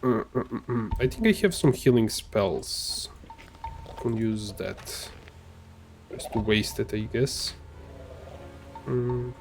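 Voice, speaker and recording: Slow, hesitant male gamer commentator. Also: mid-range voice, lively intonation, faint music behind. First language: Serbian. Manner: hesitant, full of uh fillers and restarts. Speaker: gamer streamer. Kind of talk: casual let's play commentary.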